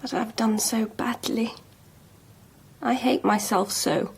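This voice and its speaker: An actress playing a despairing, self-reproaching young woman in radio drama, in a breathy, whispery voice. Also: on the high side, fairly even intonation, takes it slowly, exaggerated voice. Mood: despairing, subdued. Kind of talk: BBC radio drama acting.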